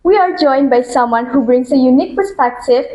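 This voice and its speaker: A woman with a fairly high voice co-hosts a podcast in an echoey room. She asks questions in an earnest, inquisitive way. The echo of the room is clear behind her voice. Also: brisk, warm tone. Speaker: podcast co-host. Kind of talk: student podcast hosting.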